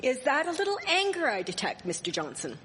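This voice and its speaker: Radio play: actress as sharp-tongued 1870s wife, formal, sarcastic, higher-pitched, performed live in a reverberant theatre. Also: female voice, huge pitch swings, fairly quick, full character voice. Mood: exasperated. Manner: scolding, sarcastic, formal diction. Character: sharp-tongued, indignant Victorian village wife. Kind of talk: live radio drama acting. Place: performing arts theatre stage. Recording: echoey hall, live stage performance.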